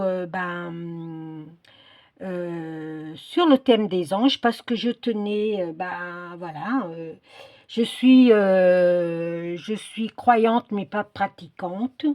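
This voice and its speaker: A woman's voice: on the low side, dark tone. A middle-aged or older woman chatting casually in a craft vlog, hesitant and often searching for her words. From Portuguese descent. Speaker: craft video creator.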